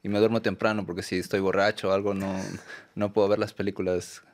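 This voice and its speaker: Peruvian guy, lowish voice, talks soft and hesitant, lots of "no?" and "este". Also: flat delivery, fast talker. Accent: Peruvian Spanish. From Peru. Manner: soft-spoken, hesitant, many fillers and tag questions. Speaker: documentary filmmaker, guest. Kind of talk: radio interview answers.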